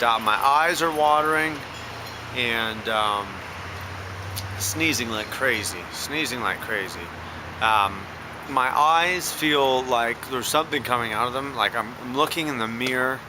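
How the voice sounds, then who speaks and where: A man from Canada with a stuffed-up cold, rambling hesitantly with many fillers in a casual video diary. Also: mid-range voice. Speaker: vlogger. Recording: echoey room with loud background noise.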